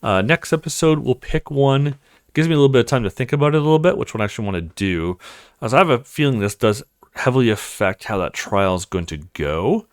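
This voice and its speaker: Animated male let's player, close and dry. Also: mid-range voice, fast, clear, resonant tone, no room sound. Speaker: let's play host. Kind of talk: visual novel let's play, reading dialogue aloud and commenting.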